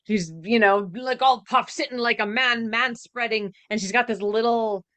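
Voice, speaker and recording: A woman's voice: fairly high pitch, fairly even intonation, moderate pace, fairly bright tone, no echo. A woman co-hosting a podcast, reacting in a very informal, chatty way. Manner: informal, peppered with "like". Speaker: podcast co-host. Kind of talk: casual reaction chat.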